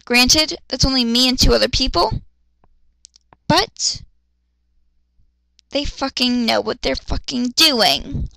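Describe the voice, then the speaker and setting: A high, bright female voice reads dramatically and rants as she goes. She is exasperated and swears freely, with sarcastic, theatrical asides. The sound is close and dry. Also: slow pace, creaky, pauses often, no echo, poor audio. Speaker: amateur dramatic reader and critic.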